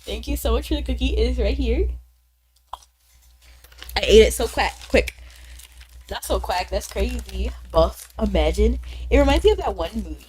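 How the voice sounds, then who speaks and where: A woman's voice: on the high side, slight background noise, low-quality recording. A young woman streaming a game and chatting casually with viewers, bubbly and chatty, a little congested from being sick. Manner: bubbly, chatty, quick bursts of excitement. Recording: faint background game music.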